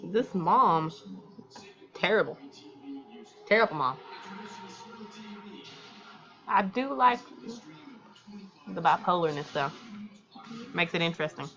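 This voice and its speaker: A woman hosting a casual let's play in a very bright voice, caught on a slightly muffled microphone. She reads visual novel narration and drops in candid, slangy commentary. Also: fairly low pitch, animated, a little slow, pauses often. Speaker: gaming video host.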